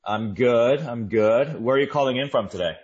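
A man's voice: mid-range voice, moderate pace, darkish tone, slightly rough, muffled recording. A man hosting a podcast, upbeat and conversational as he introduces his guest and asks questions. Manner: upbeat, conversational, casual fillers. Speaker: podcast host. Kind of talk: podcast interview.

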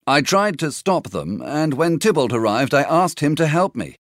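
A woman plays an earnest young nobleman explaining what happened and offering help. The voice sits lower than her own and moves up and down lively. Dry, close sound. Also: normal speed, no echo. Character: young male friend Benvolio, helpful and earnest.

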